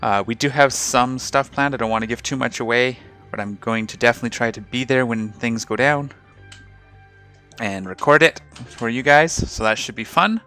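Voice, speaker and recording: A man hosting a casual game video, talking through his ship setup with a slightly rough, hoarse voice. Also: medium pitch, normal speed, soft background music. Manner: relaxed, rambling, explains ship fittings. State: slightly rough voice after a night of singing. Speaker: gaming channel host. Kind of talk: casual gameplay commentary.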